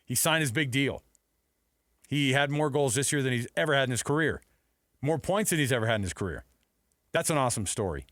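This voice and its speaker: A man hosting a sports radio show, talking casually in an animated, enthusiastic, conversational way. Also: medium pitch, fairly quick, pauses often, no echo, studio quality.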